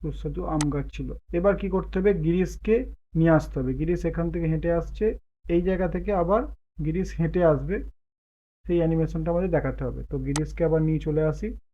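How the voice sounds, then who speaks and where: A man with a fairly high voice teaches software step by step. He explains casually and thinks aloud as he works. The sound is thin and narrow, like a phone line. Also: brisk, a little hiss or hum. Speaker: tutorial host. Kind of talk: step-by-step software tutorial, casual spoken instruction. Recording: muffled phone-like narow-band sound.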